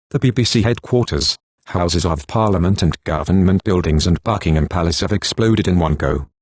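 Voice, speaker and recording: A man's voice: low voice, slightly rough, dry recording. A man voicing a stern government announcer, reading a scripted emergency alert in a formal, impersonal, authoritative manner.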